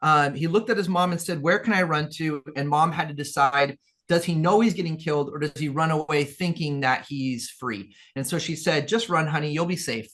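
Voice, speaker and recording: A man's voice: on the high side, moderate pace, a bit bright. A man from Peru, a guest in an interview, telling his story earnestly in a rambling way, with many restarts. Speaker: guest, business owner.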